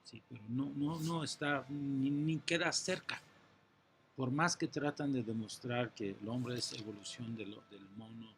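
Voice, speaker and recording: A man's voice: medium pitch, steady tone, unhurried, slight background noise. A middle-aged man from Iran, answering interview questions in an earnest, teacherly way and patiently explaining ideas step by step, with occasional restarts.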